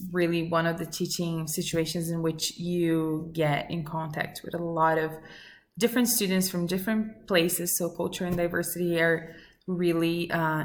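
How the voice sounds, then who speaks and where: A woman, introducing herself to a class in an earnest, measured way with many pauses and fillers. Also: fairly low pitch, gravelly. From Brazil. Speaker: student. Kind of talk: recorded self-introduction for a class.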